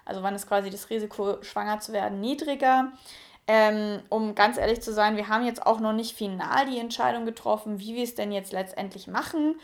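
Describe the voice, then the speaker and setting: A woman's voice: medium pitch, brisk. Chatty young woman vlogging frankly. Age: 20s. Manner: chatty, frank, many fillers. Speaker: YouTuber. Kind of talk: casual personal vlog.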